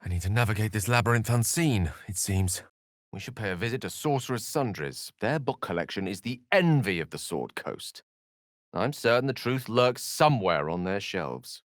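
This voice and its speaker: Male actor as scholarly wizard, fantasy game, lowish pitch, very animated intonation, articulate, wordy, drily witty, theatrical. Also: moderate pace, no room sound, studio quality, lightly acted. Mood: measured, thoughtful. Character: eloquent, scholarly male human wizard with dry wit. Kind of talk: fantasy video game voice acting.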